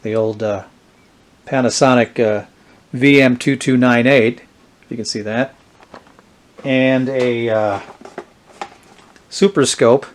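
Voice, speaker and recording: A man with a mid-pitched voice presents vintage electronics in a casual demonstration style. He is unhurried and halting, working out his thoughts aloud as he explains the item in front of him. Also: fairly even intonation. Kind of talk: casual product demonstration vlog.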